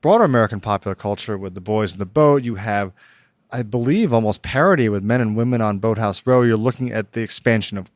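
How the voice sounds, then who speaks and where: A man hosting a podcast, asking measured, courteous interview questions. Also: fairly low pitch, no echo, studio quality. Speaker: podcast host.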